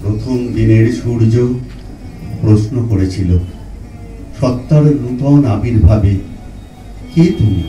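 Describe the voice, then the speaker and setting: An actor playing a dying old poet in an audio drama, tender and anguished, speaking slowly and haltingly in a poetic manner. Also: male voice, medium pitch, warm tone, dull audio, lightly acted. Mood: solemn, reciting. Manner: slow, halting, repeats words, poetic. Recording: roomy echo, distant mic, music underneath.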